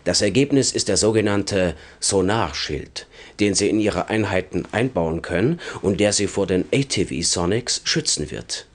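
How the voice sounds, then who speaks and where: A wheedling underworld dealer, acted by a man, makes a sales pitch in a video game cutscene. The voice sits fairly low but swoops about, eager to ingratiate itself with the customer. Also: animated, normal speed, hardly any pauses, lightly acted. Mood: ingratiating, sly. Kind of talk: scripted game cutscene monologue.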